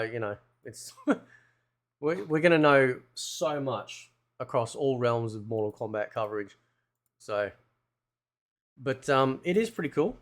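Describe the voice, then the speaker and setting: Casual male gaming host, rambling commentary. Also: mid-range voice. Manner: rambling, thinking aloud. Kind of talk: casual game trailer commentary.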